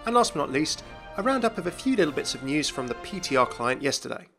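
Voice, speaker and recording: Bright-voiced man presenting gaming news. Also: on the high side, sing-song, fast, faint music behind. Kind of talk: upbeat video game news commentary.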